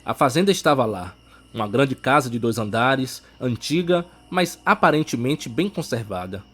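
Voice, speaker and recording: A man's voice: medium pitch, lively intonation, normal speed, fairly bright tone. A man with a Brazilian accent reading a scripted suspense audiobook as narrator, with music behind him.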